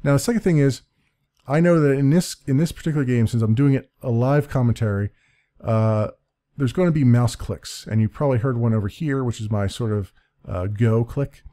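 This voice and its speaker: Deep-voiced man rambling through a tutorial. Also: brisk, dark tone. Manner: rambling and self-correcting, with small laughs. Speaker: let's play creator explaining his audio setup. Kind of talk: casual screen-recorded tutorial. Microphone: desk-mounted condenser mic with pop filter.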